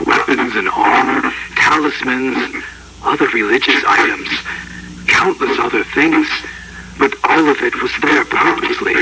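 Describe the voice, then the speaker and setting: A shaken anthropologist, played by a man, confesses in a halting, haunted way for an analog horror story. His mid-pitched voice has a gravelly creak, and the gritty tape is thick with noise. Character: shaken male anthropologist confessing a dreadful mountain discovery. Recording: gritty, very noisy tape recording.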